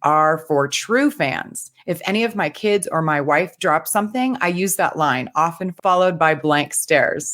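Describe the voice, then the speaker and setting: An American woman co-hosting a podcast, reading a listener email aloud in an upbeat, measured way. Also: on the low side, a bit bright. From United States. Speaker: podcast co-host.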